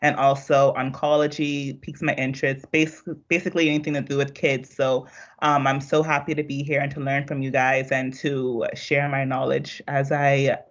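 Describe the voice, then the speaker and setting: A man's voice: medium pitch, flat delivery, normal speed, a bit bright. A young man giving an informal student self-introduction on a video call. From Nassau, Bahamas. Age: young adult. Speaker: physiotherapy student. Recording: dull video call audio.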